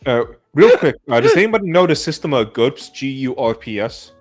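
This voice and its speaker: A man's voice: medium pitch, very animated, brisk, faint music behind. A man hosting a casual tabletop gaming discussion, putting questions to the other speakers. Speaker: host of a discussion. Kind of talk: casual panel talk about tabletop games.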